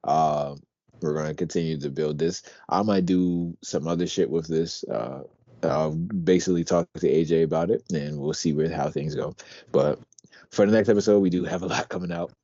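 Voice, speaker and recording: Deep-voiced, quiet-talking young man. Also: moderate pace, dry recording, highs cut off. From Pennsylvania. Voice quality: deep, quiet, talks through his teeth. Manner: relaxed, rambling, swears casually. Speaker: podcast co-host. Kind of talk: casual chat.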